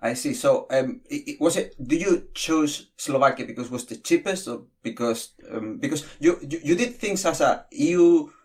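A man's voice: fairly high pitch, lively intonation, moderate pace, few pauses, a little mellow, breathy edge. A man hosting an interview podcast, reading an earnest intro, then asking probing questions in casual chat. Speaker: podcast host.

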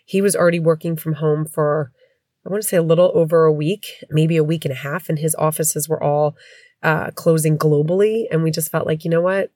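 Congested middle-aged New woman, low-pitched, rambling podcast host, dry room. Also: steady tone, a little fast, dark tone, dry recording. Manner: chatty, rambling, candid. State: congested with a head cold. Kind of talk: casual podcast chat. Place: home.

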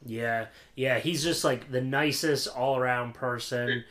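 A man's voice: medium pitch, steady tone, measured pace, fairly bright tone. A young American man from Pennsylvania hosting a podcast in an informal, laid-back chat. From Pennsylvania, United States. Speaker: podcast host. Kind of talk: casual chat.

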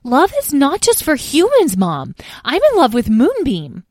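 A woman's voice: sits high, wildly swooping pitch, a little slow, a little mellow, dry recording, studio quality, pitched above the performer's own voice, exaggerated voice. Edwin, a woman doing an eager young dragon boy. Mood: earnest. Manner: questioning, earnest. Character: eager young male dragon, curious and dreamy.

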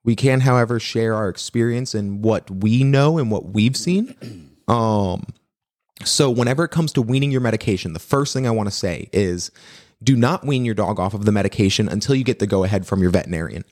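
A man co-hosting a dog-training podcast, reading listener questions and answering in a brisk, agreeable way. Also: on the low side, darkish tone, no room sound, studio quality. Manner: brisk, agreeable, quick to affirm. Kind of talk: casual podcast chat.

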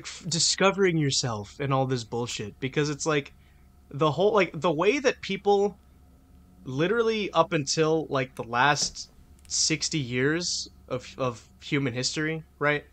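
A man's voice: fairly high pitch, a bit bright. A man co-hosting a podcast, arguing in a rambling way, full of filler words and swearing. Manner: argumentative, emphatic. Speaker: podcast co-host. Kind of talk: casual podcast debate.

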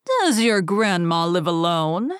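A woman voicing a sly male wolf in a fairy tale, with a big growly voice and slow, falsely polite manner. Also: fairly low pitch, sing-song, clean tone, no echo, studio quality. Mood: slyly polite.

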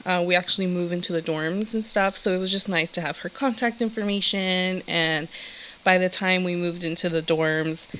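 A woman's voice: fairly low pitch, fairly even intonation, moderate pace, sharp tone, a little hiss or hum, poor audio. A young woman from Southern California, co-hosting a podcast and chatting casually, laughing often and saying "like" a lot. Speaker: podcast co-host. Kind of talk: casual chat.

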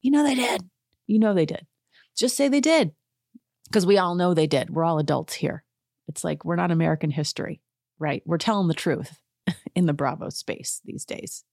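A woman hosting a podcast in a casual, chatty way, sarcastic and quick to laugh. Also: on the low side, vocal fry, darkish tone, no echo, clean studio sound. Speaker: podcast host. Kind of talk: casual chat.

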